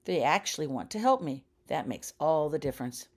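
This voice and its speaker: A young schoolgirl witch, acted out by a woman reading a storybook, talks in a shy and hesitant way. She is earnest and eager, and quick to defend herself. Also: sits low, lively intonation. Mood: thoughtful, earnest. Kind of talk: audiobook character dialogue.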